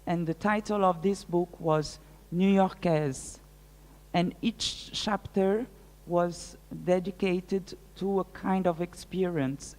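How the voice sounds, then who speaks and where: A woman whose first language is French, answering audience questions as a guest lecturer, thinking aloud with many pauses and fillers. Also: fairly low pitch, darkish tone. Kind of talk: live public Q&A. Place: large lecture hall. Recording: reverberant hall.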